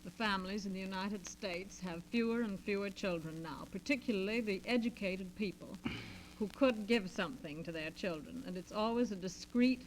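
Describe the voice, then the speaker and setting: A woman in a 1940s radio discussion, speaking in a halting, measured, dryly self-deprecating way. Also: medium pitch. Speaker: guest debater. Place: radio studio, around a table. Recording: noisy vintage transcription disc with hum.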